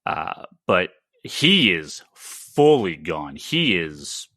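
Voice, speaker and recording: Animated, low-voiced American man, bright and emphatic. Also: drawn-out words, no echo, clean studio sound. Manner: emphatic, stammering with repeated words. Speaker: podcast host; comic shop retailer. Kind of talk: opinionated casual podcast discussion.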